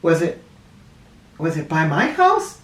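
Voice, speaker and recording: A man voicing a friendly, easily puzzled woman librarian in a children's storybook, with halting speech. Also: on the high side, huge pitch swings, exaggerated voice. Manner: halting, repeats words when puzzled. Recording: echoey room, distant mic.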